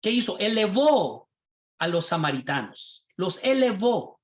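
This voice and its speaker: A man, a pastor and teacher, teaching a class over a video call in a lively, sermon-like way, often asking his listeners rhetorical questions. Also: sits high, measured pace. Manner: animated, rhetorical questions, asks the class directly.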